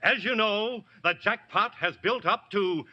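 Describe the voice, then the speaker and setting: A man playing an excitable, overbearing quiz show host with a gushing showman's delivery, in 1940s radio comedy style. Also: sits high, unhurried, highs cut off, full character voice. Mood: showy build-up. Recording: noisy old radio broadcast recording.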